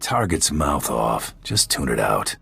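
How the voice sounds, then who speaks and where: A man voicing a gruff, hardened bounty hunter in a video game, speaking in a terse, dry, unbothered way. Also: extremely low pitch, sing-song, measured pace, sharp tone, exaggerated voice. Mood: curt, cold.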